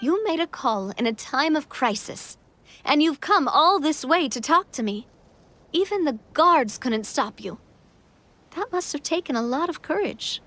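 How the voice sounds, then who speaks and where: A woman playing a kind, composed young monarch in an English-dubbed fantasy game cutscene, speaking in an earnest, reassuring and measured way. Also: high voice, animated, fairly bright tone. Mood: encouraging.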